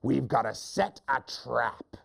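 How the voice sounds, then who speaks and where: A man's voice: medium pitch, lively intonation, takes it slowly, vocal fry, breathy edge, exaggerated voice. A man voicing a mean, bossy, short-tempered lion hunter with emphatic, commanding delivery in a told story.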